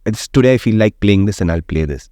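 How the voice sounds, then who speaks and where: A man, speaking in a fairly low register. He lays out his opinions at length, like a seasoned radio host, and keeps asking "right?" to check his listener is following. The studio sound is close and dry, with no room echo. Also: lively intonation, fast, warm tone. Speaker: radio jockey and guest. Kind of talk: opinionated interview conversation.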